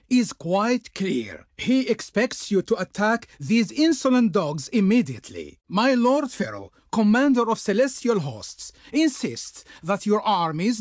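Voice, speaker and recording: A male actor playing a pompous, fawning Egyptian envoy in a video game, speaking ceremoniously with reverent pauses, as if declaiming for his Pharaoh. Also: sits high, no echo, studio quality, heavily acted. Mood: imperious, demanding. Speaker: video game diplomat character. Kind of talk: theatrical video game voice acting.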